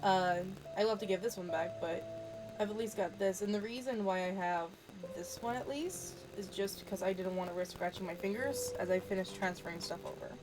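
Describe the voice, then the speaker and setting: A woman's voice: mid-range voice, steady tone, a little fast, over music, poor audio. Stuffed-up woman chatting through a game. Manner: chatty, rambling, trails off with fillers; talks quietly so as not to wake others. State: stuffed up from allergies. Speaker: gaming video host. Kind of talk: casual let's-play commentary. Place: room at home at night. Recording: static from a faulty mic.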